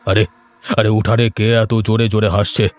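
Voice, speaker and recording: A man's voice: on the low side, little pitch movement, normal speed, a little hiss or hum, lightly acted. A man voicing an anxious, pious older village uncle in a cartoon, sighing and exclaiming dramatically. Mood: worried. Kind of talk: cartoon voice acting. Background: music.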